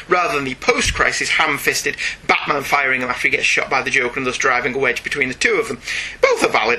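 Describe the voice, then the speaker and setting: A man's voice: mid-range voice, pushed and bright, breathy edge, rough recording. A chatty, enthusiastic man co-hosting a podcast, talking casually and reading out listener emails. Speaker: podcast co-host. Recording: faint background noise.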